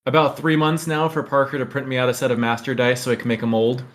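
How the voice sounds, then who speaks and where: A man's voice: mid-range voice, level tone, fast. A man co-hosting a podcast in a casual, conversational style. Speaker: podcast co-host. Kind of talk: casual chat.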